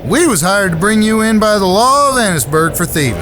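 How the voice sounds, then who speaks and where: A man's voice: high voice, very animated, fairly quick. A man playing a folksy, cocky Western gunman in an audio drama, mock-polite, with an American Southern drawl. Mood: folksy warning. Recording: music bed behind the voice.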